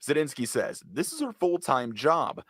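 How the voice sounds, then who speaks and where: A man narrates a scripted news report. His voice is a little higher than most men's, and the sound is dry, with no room around it. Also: sing-song, moderate pace, fairly bright tone, breathy edge, no echo.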